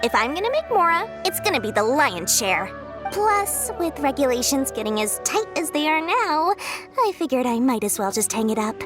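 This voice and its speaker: A woman's voice: high voice, very animated, moderate pace, music in the background. A woman voicing a shrewd, greedy young female merchant in a video game, talking in a sly, bubbly, salesy way. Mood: scheming. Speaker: game character.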